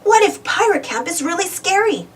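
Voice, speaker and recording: A timid little boy from a children's storybook, voiced by a woman. He is hesitant and easily frightened, speaking in a high, wavering pitch that moves up and down a lot. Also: very animated, normal speed, far from the mic. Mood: reluctant. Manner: hesitant, sighing.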